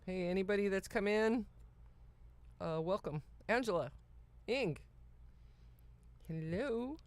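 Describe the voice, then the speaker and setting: A woman's voice: mid-range voice, animated, slow, darkish tone, dry recording. A woman co-hosting a livestream, chatting casually and greeting viewers in an informal way. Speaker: livestream co-host.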